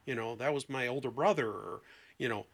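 A man's voice: medium pitch, a little fast, vocal fry. A man talking as a therapist guest in a conversation, explaining things emphatically with illustrative examples.

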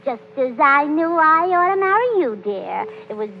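Hoog kwetterend, warhoofdig jong vrouwtje. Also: female voice, sits high, sing-song, normal speed, gravelly, exaggerated voice. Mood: earnest, coaxing. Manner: scatterbrained, hesitant, earnest chatter. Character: scatterbrained, chattering, meddling young wife, sweet and eager. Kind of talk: 1930s radio comedy acting. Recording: muffled, hissy 1930s radio recording.

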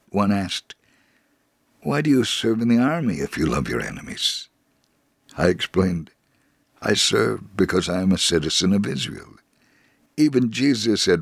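A man narrates a first-person account in a low, gravelly voice. He reads from a script with measured, deliberate storytelling, and the sound is close and dry. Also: dry recording.

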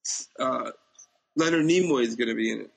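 A man's voice: medium pitch, brisk, vocal fry, breathy edge, poor audio. A man co-hosting a casual chat, answering bluntly and briefly with his own opinions. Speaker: podcast co-host.

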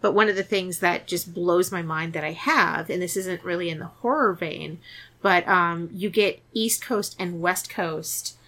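A woman's voice: on the low side, a little slow, a bit bright. A woman hosting a podcast, chatting casually about movies, laughing often and restarting her sentences. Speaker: podcast host. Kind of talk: casual chat about horror films.

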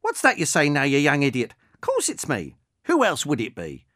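A narrator voicing a cantankerous, swearing woman of seventy-four, high and indignant. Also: huge pitch swings, moderate pace, fairly bright tone, exaggerated voice. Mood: grumbling.

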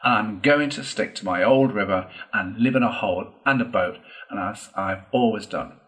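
A man voicing a stubborn, sceptical water rat in a storybook, speaking in a slow, dry, firm way. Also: fairly low pitch, fairly bright tone, lightly acted.

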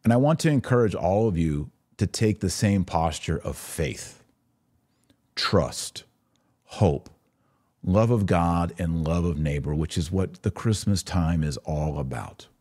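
Very deep, mellow-voiced man. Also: expressive, measured pace. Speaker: podcast host and commentator. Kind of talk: religious commentary, direct address to viewers. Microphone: webcam setup.

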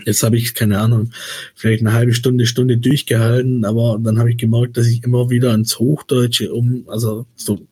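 A man's voice: medium pitch, level tone, moderate pace, a little mellow, slightly rough, dry recording. A man with a light Swabian accent hosting a podcast in a rambling, chatty way with many hesitations. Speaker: podcast host. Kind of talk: casual chat.

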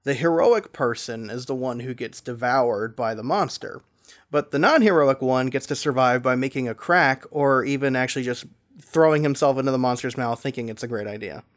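A man's voice: medium pitch, moderate pace. A man speaking as an interview guest and game master, explaining in an articulate, conversational way.

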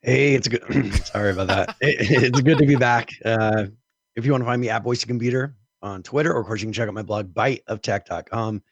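A man's voice: mid-range voice, fairly quick, dry recording. A man co-hosting a gaming podcast, his voice scratchy from a cold, explaining at length in casual chat. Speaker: podcast co-host.

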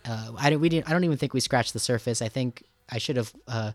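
A man's voice: medium pitch, quick pace. A man hosting a casual conversational interview, talking in a rambling, enthusiastic and self-deprecating way. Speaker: radio show host.

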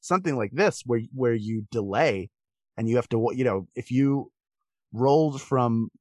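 A man's voice: mid-range voice, moderate pace, darkish tone, no room sound. Male podcast guest, mid-range voice, conversational, recorded dry.

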